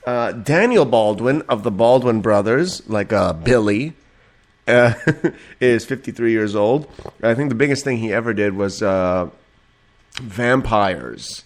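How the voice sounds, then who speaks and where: A man's voice: fairly low pitch, takes it slowly, pauses often. A man hosting a casual late-night podcast from home, a bit tipsy and a little nasal, rambling and laughing with lots of fillers and swearing. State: slightly tipsy. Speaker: podcast host. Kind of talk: late-night casual solo talk show.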